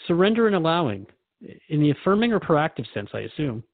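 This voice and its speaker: A man's voice: a little high, sing-song, fast, no room sound, narrow, tinny audio. A man hosting a conversational radio talk show, asking questions in an earnest, reflective manner. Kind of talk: conversational interview with a spirit guide.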